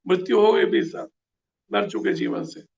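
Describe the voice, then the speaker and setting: A man's voice: high voice, very animated, moderate pace, gravelly, darkish tone, lo-fi sound. A man preaching a scripture class, earnest and full of rhetorical questions.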